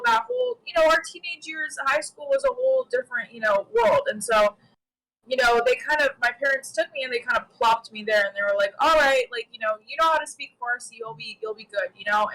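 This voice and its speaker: A woman's voice: sits high, fairly bright tone, low-quality recording. A young woman raised in the United States, talking casually and with animation about politics on a stream, often adding "you know" and "right?". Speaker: guest commentator.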